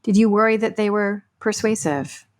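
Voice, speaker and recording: A woman in a thoughtful discussion, phrasing her questions and points in a deliberate, articulate and emphatic way. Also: mid-range voice.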